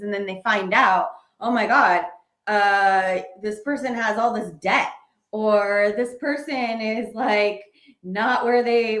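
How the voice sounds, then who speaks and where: Woman co-host explaining, roomy echo. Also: medium pitch. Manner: steady, explanatory, lists questions, light laughs. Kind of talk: casual conversational advice.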